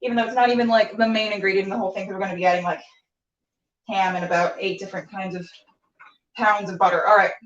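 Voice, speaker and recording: A woman's voice: medium pitch, steady tone, quick pace. A woman hosting a casual cooking show, chatting playfully and self-deprecatingly in a rambling way as she works. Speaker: host of a cooking and interview web show. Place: home kitchen, cooking at a hot plate. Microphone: phone or camera set back from speaker. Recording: roomy, distant, slightly dull home recording.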